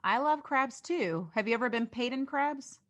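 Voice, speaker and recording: A woman journalist hosting a podcast, reading a scripted introduction in a clear, measured way and then putting questions to her guests. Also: a little high, animated, a bit bright.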